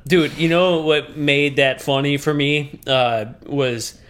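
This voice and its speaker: A man co-hosting a casual podcast, talking in a fairly high voice. He riffs on silly ideas, laughs often and fills his speech with "dude" and "like.". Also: steady tone, slow pace, fairly bright tone. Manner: laughing, riffing, says dude a lot. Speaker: podcast co-host. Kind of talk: casual chat.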